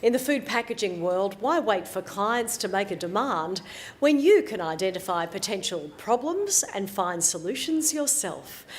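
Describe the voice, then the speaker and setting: A woman hosting an awards ceremony with a scripted stage presentation. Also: medium pitch. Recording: live event hall with crowd noise. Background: audience and room noise.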